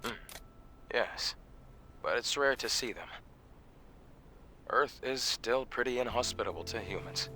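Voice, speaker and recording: An actor playing a calm, reassuring male survivor who guides others, in video game cutscene dialogue. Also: mid-range voice, unhurried, pauses often. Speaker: game character. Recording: game audio with music behind.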